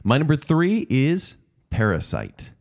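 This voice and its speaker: A man's voice: a little high, wildly swooping pitch, a little slow, vocal fry, darkish tone. A man co-hosting a casual movie review podcast, enthusiastic and teasing. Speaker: podcast co-host.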